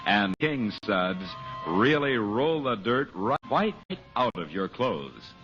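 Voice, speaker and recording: Animated, low-voiced vintage radio announcer, male. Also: slow. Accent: American. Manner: polished, persuasive, emphatic. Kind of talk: 1930s-40s radio commercial reading. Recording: muffled old radio broadcast recording. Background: music.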